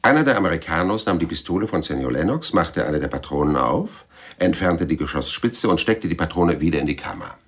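A man's voice: deep voice, big pitch swings, a little fast, a bit bright, husky, heavily acted. An actor playing a weary, cynical private detective who tells his own case dryly and laconically in a radio play. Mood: coolly accusing. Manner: dry, laconic. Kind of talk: radio play narration and dialogue.

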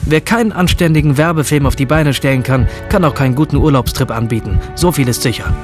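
Radio drama lead, acted by a man: young futuristic narrator, introspective and fixated, medium pitch, clear musical bed. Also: quick pace, music in the background, natural voice. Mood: detached, wry. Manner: brooding, introspective monologue. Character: obsessed, detached young male narrator of future elite. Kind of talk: German radio drama monologue.

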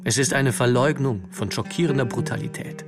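Male actor as bitter accuser, creaky mid-pitched voice, dramatic monologue, guitar behind. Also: fairly quick, lightly acted. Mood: bitter, accusing. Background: plucked guitar music.

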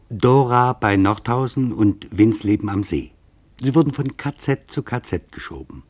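A man narrating a scripted radio documentary in a sober, deliberate way. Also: medium pitch, a little mellow.

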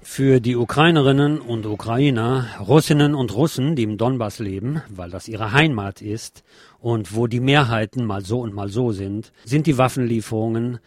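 A man's voice: medium pitch, moderate pace, no echo. A man presents scripted political news on the radio in a mid-range voice. He reads reports and commentary. The sound is very dry, with no audible room.

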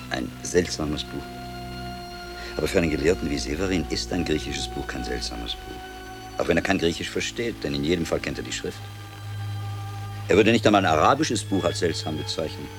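An actor playing a sharp-minded, impatient medieval scholar monk in a German radio drama, reasoning aloud with deliberate emphasis. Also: male voice, very deep voice, over music. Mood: pondering, brisk. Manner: deliberate reasoning aloud, given to exasperated outbursts.

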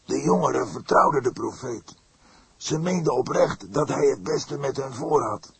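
A man's voice: medium pitch, moderate pace, gravelly, a bit bright, husky, pitched above the performer's own voice, full character voice. A man voicing an indignant, solemn father of the church in an audiobook, speaking with emphatic, formal deliberation. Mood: pained, earnest. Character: fierce, pained elderly pastor, indignant and solemn. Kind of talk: audiobook character voice.

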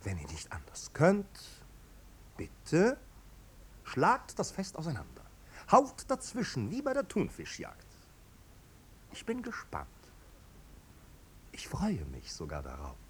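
An actor playing a snobbish, amused aristocrat with a languid, ironic manner in a 1950s German radio drama. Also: male voice, huge pitch swings, takes it slowly, pauses often, fairly bright tone, slightly rough, exaggerated voice. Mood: ironic, defiant. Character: snobbish, amused aristocratic marquis, blasé and mocking. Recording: old radio recording, rumbling background noise.

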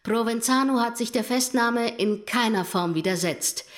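An actress playing a formal, factual television news anchor reading a measured bulletin in a radio drama. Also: female voice, on the high side, lightly acted.

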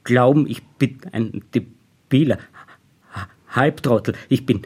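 An actor playing an awkward, earnest young student who stutters heavily and struggles through each halting phrase in a radio crime drama. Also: male voice, medium pitch, a little mellow, husky. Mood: hurt, insistent. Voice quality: heavy stutter. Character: awkward, earnest male physics student, badly stammering witness.

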